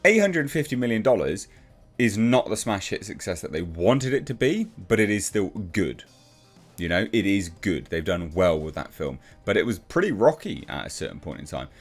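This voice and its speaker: A man's voice: fairly low pitch, very animated, moderate pace, faint music behind. A British man giving casual, opinionated news commentary in a blunt, sarcastic, informal way. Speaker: YouTube commentator.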